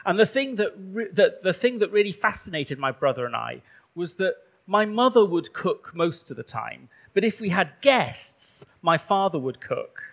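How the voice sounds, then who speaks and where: A man's voice: sits high, big pitch swings, moderate pace, gravelly. A man from rural Wales, a bishop whose first language is Welsh, giving a chatty sermon in a church with many ums. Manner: warm, conversational preaching with frequent ums.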